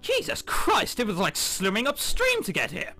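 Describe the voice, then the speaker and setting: Lively higher-pitched guy reading out a visual novel and joking around, music going behind him. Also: moderate pace. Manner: jokey, animated asides. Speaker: gaming video host. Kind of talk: reading a visual novel aloud with casual commentary. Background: game background music.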